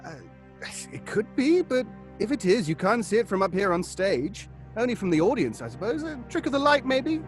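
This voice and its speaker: A nervous, gossipy man of the stage in a horror audio drama. His fairly high voice swoops about theatrically. He sounds hesitant and keen to placate, with faint music behind. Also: very animated, moderate pace. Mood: placating. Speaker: actor.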